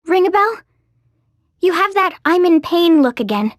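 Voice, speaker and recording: High-pitched, spirited young heroine. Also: female voice, sing-song, a little slow, no echo, studio quality, exaggerated voice. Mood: teasing, concerned. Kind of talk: video game voice acting.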